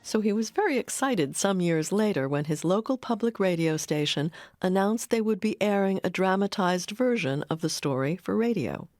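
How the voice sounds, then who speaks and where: A woman's voice: medium pitch, lively intonation, normal speed, hardly any pauses, darkish tone, no echo, soft background music. A woman delivering scripted narration as a documentary narrator.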